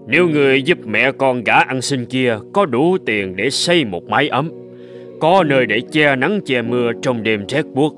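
An actor playing a cunning, haughty old master in an animated folk tale, speaking in a measured, persuasive manner. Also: male voice, medium pitch, close to an everyday voice. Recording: music bed under the voice.

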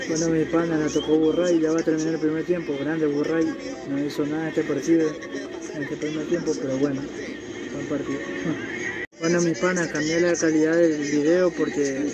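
A man's voice: on the high side, little pitch movement, normal speed. A young man from Ecuador with an Ecuadorian accent, chatting casually as he reacts to a football match and talks to his viewers, in a small room. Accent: Ecuadorian Spanish. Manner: chatty, slangy, addresses viewers as pals.

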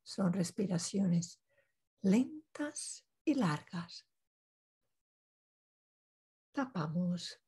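A woman guides a meditation in a very husky, breathy voice. She speaks extremely slowly, in short, calm instructional phrases. Long pauses separate each step. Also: mid-range voice.

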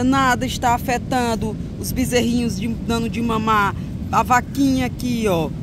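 A woman narrating children's toy play outdoors in a sing-song, animated way, with playful sound effects, recorded on a phone. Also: sits high. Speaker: host of a kids' toy channel. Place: outdoors on grass in a beach park. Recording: outdoor noise with passing traffic.

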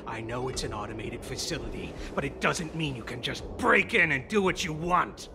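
A man's voice: medium pitch, expressive, normal speed, background noise, lightly acted. An actor playing an adult male commission officer in a game, lecturing trespassing kids. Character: gruff adult male commission officer, stern but easygoing.

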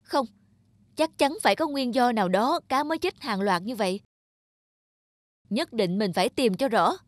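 A woman's voice: a little high, normal speed, close to an everyday voice. A woman playing an earnest, determined young male fish farmer in a cartoon. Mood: stubborn resolve. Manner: earnest, resolute. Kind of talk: cartoon dub acting.

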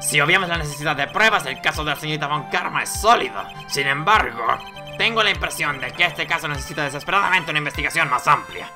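A performer voicing a stern but befuddled old male judge in a game, solemn and deliberate. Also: mid-range voice, over music, lightly acted. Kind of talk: video game dialogue read aloud with character voices.

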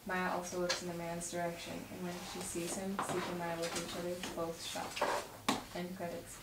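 A young woman with a lowish voice reads stage directions from a script. She is recorded from across an echoey room, with clear noise behind her. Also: completely flat delivery, a little fast. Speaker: script reader at a table read. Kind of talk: reading stage directions aloud. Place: home dining room around a table. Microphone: far camera. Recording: roomy, distant mic, clear background noise.

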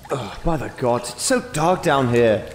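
A man's voice: mid-range voice, lively intonation, measured pace. A man playing an exasperated, squeamish swordsman with a dry, sarcastic, fussy manner in a comedy fantasy cartoon. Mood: disgusted. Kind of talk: comedic animated fantasy voice acting. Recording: echoey sewer-like reverb with noise.

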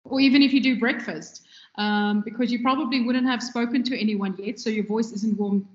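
A woman's voice: fairly high pitch, steady tone. Woman lecturing in an echoey room. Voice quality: trained, loud voice. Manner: measured, instructive, with frequent ums. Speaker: radio lecturer. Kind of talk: recorded lecture. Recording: echoey, dull lo-fi room recording.

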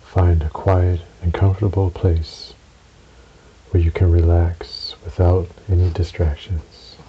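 A man narrating a guided relaxation meditation in a soothing, measured way, with long pauses between short phrases. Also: low voice, level tone. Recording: dull recording with audible hiss.

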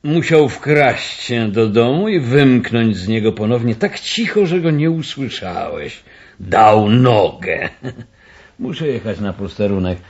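A man acting a brusque, self-assured police inspector in a radio play, commanding and condescending, with drawn-out emphasis. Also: medium pitch, lively intonation, darkish tone, highs cut off. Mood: smug, confident.

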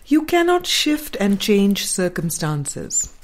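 A woman reading a spiritual book aloud in a measured, deliberate and formal way. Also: mid-range voice, big pitch swings. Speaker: audiobook reader.

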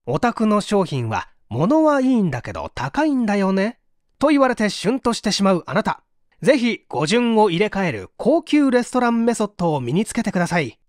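High-pitched male audiobook narrator, lively intonation. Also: no echo, studio quality. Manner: measured, explanatory read-aloud with acted example lines. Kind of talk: scripted book narration.

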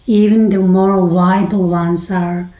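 Measured woman lecturing in a roomy space. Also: on the low side, fairly even intonation, warm tone. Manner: measured, academic delivery. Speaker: host. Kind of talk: scripted explainer lecture. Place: small room indoors. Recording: roomy echo.

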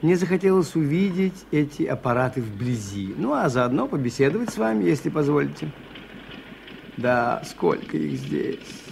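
An unassuming, shrewd priest in a 1980s radio drama. His lightish, creaky voice is polite and musing, with faint noise on the recording. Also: a little high, big pitch swings, moderate pace, a little mellow. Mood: musing, curious. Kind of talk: 1980s radio play acting.

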